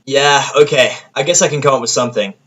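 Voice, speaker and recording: A man's voice: mid-range voice, fast talker, a bit bright, voice pushed up, lightly acted. A man voicing an easygoing, friendly female pony in an audio story, speaking casually. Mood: casual, improvising.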